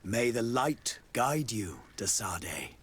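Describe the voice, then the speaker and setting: An actor playing a grave, pious high clergyman in a video game, solemn and theatrical with a husky voice. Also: big pitch swings, takes it slowly, fairly bright tone, full character voice. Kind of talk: scripted video game cutscene acting.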